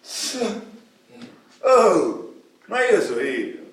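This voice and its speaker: A man acts a brash working-class sponger with a Roman accent in a radio play. He is loud and slangy, with a highish, lively voice. The sound is distant and very roomy, over light hiss. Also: drawn-out words, exaggerated voice. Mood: cocky. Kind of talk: stage-style radio drama. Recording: echoey hall from afar, hissy.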